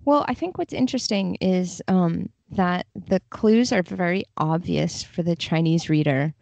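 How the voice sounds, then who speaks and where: A woman's voice: on the low side, unhurried, gravelly, a little mellow, no echo. A woman speaking as an interview guest, explaining things in a casual, conversational way. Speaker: podcast guest, literary translator. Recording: slightly dull, muffled audio.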